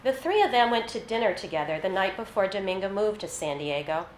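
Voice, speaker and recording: A woman reading literary fiction aloud at a live event, with a measured, narrative delivery. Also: a little high. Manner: measured, scripted narration with read-out dialogue. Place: live reading in an echoey venue. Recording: roomy echo, faint background noise.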